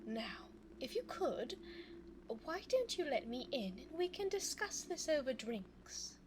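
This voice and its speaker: A woman playing a scheming, seductive female vampire in an ASMR audio roleplay, speaking formally and teasingly in a breathy voice that often drops toward a whisper. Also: high voice, very animated, background noise. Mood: smug, coaxing. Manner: formal, coy, teasing, drawn-out phrasing. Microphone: close binaural microphone.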